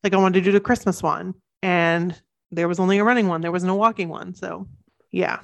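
An enthusiastic woman in her thirties co-hosts a casual podcast, talking fast with asides. She is sniffly from the cold, and her voice sits slightly low. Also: fairly even intonation, vocal fry. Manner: chatty, enthusiastic, quick asides. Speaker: podcast co-host. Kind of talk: casual chat.

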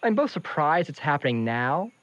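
A man's voice: a little high, very animated, moderate pace, a bit bright, no echo, dull audio. A man playing a cocky, taunting young man in an audio drama, with a smug, teasing manner. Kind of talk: naturalistic audio drama acting.

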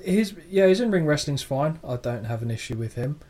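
A man's voice: a little high, animated, fairly quick, dark tone. Teasing male podcast co-host. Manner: teasing, sarcastic banter. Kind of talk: casual chat.

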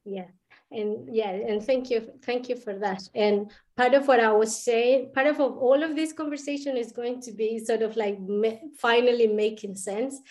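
A woman's voice: on the high side, steady tone, normal speed, a little mellow. A woman from Colombia whose first language is Spanish, talking as a podcast guest in a thoughtful way, with many restarts and fillers. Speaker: podcast guest, international educator. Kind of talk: casual interview talk.

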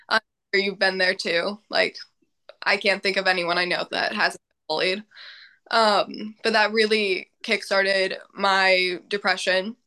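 Bright-voiced young woman, casual and chatty. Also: medium pitch. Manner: casual, filler-heavy, laughs often. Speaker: podcast guest, mental health advocate. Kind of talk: casual interview chat.

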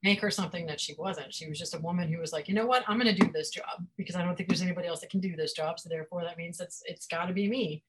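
Interview guest: woman, lowish pitch, casual, quick to laugh, self-deprecating. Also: breathy edge. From Florida. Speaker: guest author and publisher.

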